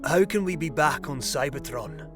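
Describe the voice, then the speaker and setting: A man's voice: on the high side, expressive, moderate pace, faint music behind, natural voice. A man playing an anxious, caring robot crew member in an audio drama, urgent and emotional. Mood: relieved, puzzled.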